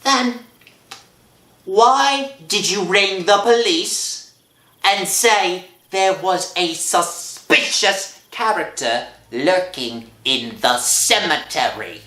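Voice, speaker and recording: A man playing a gruff, suspicious, long-winded police constable in a 1960s radio drama. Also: high voice, expressive, slow, bright, forceful tone, exaggerated voice. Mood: stern. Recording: old radio recording, echoey.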